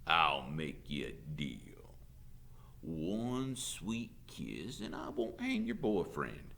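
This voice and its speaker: A man voicing a lecherous, drunken small-town sheriff in an audiobook, with a slow drawl. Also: rumbling low, huge pitch swings, vocal fry, fairly bright tone, voice pushed down, heavily acted. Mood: leering.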